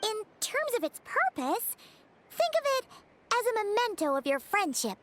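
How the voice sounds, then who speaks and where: A woman's voice: very high-pitched, sing-song, normal speed, a bit bright, heavily acted. A woman voicing a tiny, cheerful fairy companion in a video game, childlike, chirpy and informal as she eagerly explains things. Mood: gentle explaining.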